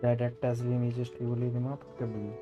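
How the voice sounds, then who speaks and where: A man's voice: medium pitch, level tone, normal speed, highs cut off. An Indian man, a native Hindi speaker, chatting casually as he streams a game. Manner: mixes Hindi and English. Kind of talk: casual livestream chat while gaming. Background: game music.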